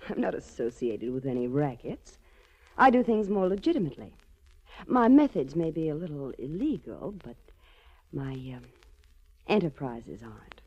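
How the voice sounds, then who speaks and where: An American actress playing a cool, seductive gangland boss in a 1940s radio crime drama, poised, teasing and quietly menacing. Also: female voice, deep voice, exaggerated voice. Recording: muffled old 1940s radio recording.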